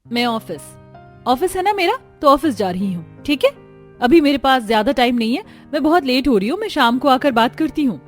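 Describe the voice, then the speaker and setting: A woman's voice: sits high, sing-song, fairly quick. A woman voicing a defiant young working daughter-in-law in a cartoon family story, curt and insistent. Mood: insistent, hurried. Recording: music behind the voice.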